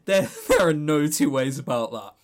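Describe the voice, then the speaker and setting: A man's voice: a little high, expressive, moderate pace. A man co-hosting a podcast, chatting casually and unscripted about games in a joking, teasing way. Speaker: podcast co-host.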